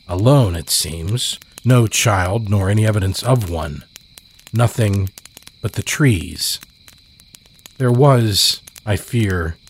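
A man reads a scary story in a dramatic, deliberate style. His voice sits a little low and he takes his time, leaving heavy pauses. Faint night insects sound behind him. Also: slight background noise. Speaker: horror story narrator. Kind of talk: dramatic scripted narration.

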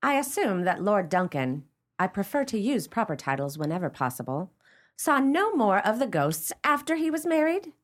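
Woman acting prim romantic lady, radio-play dialogue, expressive, animated pitch swings, middle register. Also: normal speed, natural voice. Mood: inquisitive. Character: proper, romantic-minded lady eagerly questioning a storyteller.

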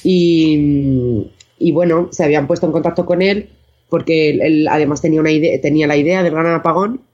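A woman's voice: low voice, fairly even intonation, moderate pace, a little mellow. A woman from chatting casually as an interview guest. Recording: dull, band-limited sound.

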